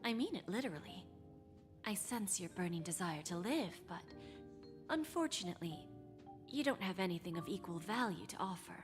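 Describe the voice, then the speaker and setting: An actress voicing a smooth-talking woman who runs a wish-granting pawn shop in a video game, speaking in a coaxing, often whispered manner. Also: fairly low pitch, lively intonation, normal speed, close to an everyday voice. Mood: sly. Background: game music.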